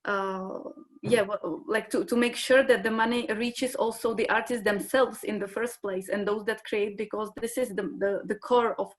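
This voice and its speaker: A woman speaks English as an on a conference panel. Her pitch sits mid-range for a woman. She hesitates often and fills gaps with "uh" as she restarts her sentences. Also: fairly even intonation, normal speed, poor audio. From Czech Republic. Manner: hesitant, many fillers and restarts. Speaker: conference panelist from a large arts institution. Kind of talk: panel discussion answer.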